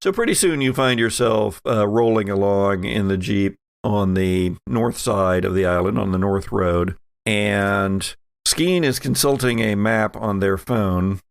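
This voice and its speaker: A man's voice: on the low side, measured pace, gravelly, clean tone, dry recording. A man narrating a tabletop roleplaying game as game master in a conversational way.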